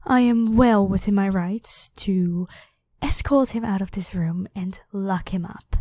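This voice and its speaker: A woman's voice: medium pitch, unhurried, dark tone, dry recording, clean studio sound, lightly acted. A woman voicing a devoted, protective royal knight, head of the guard, with formal, deferential speech in a scripted ASMR roleplay. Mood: firm, indignant. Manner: formal, deferential address to a monarch.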